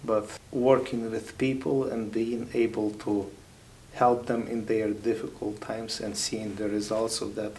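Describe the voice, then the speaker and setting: Soft-spoken Ukrainian-accented man, halting. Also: on the low side, flat delivery, slight background noise, low-quality recording. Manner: halting, thoughtful, with many pauses and fillers. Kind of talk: interview answers.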